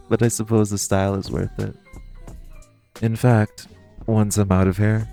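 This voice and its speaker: Man acting as flirty male supervillain thief, deep voice, coy teasing delivery, intimate audio roleplay, faint music behind. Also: gravelly, warm tone. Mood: playful, flirty. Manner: teasing, coy, theatrical, drawn-out phrasing.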